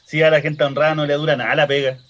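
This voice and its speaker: A man's voice: on the high side, flat delivery, racing, fairly bright tone, lo-fi sound, lightly acted. A man with a Chilean accent playing a chatty, teasing cemetery worker in a radio drama. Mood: matter-of-fact. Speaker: actor. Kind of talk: radio drama acting, colloquial dialogue.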